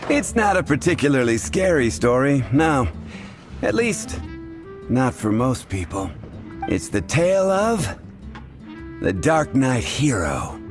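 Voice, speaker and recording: A man's voice: medium pitch, sing-song, slow, long pauses. A man playing an easygoing, wry tavern bartender in an anime game, telling rumours conversationally. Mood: teasing. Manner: conversational, wry, chuckling asides. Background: background music.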